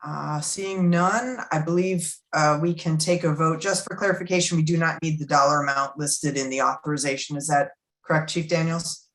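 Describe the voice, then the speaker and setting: A woman's voice: low-pitched, fairly even intonation, moderate pace. A woman chairing a public committee meeting, polite and procedural, with many thank-yous.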